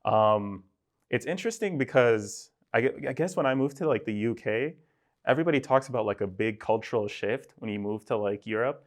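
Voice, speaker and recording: A young man from Sudan, a podcast guest talking in a relaxed, rambling way and filling his speech with "like" and "right?". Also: medium pitch, a little fast. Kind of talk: casual conversational interview.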